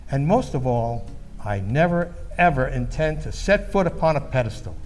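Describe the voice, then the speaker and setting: An actor playing an earnest, patriotic baby-boomer storyteller in an emphatic, solemn stage monologue. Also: male voice, big pitch swings, normal speed, close to an everyday voice. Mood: solemn, resolute.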